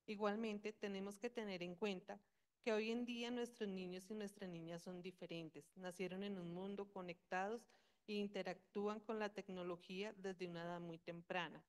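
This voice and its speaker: A woman presenting at a conference, reading a formal talk in a measured, steady way with rhetorical questions. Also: mid-range voice. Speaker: conference speaker.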